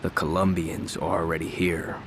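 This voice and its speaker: An actor playing a deferential, uneasy gangster in a video game cutscene. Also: male voice, low-pitched, little pitch movement, moderate pace, a little hiss or hum, lightly acted.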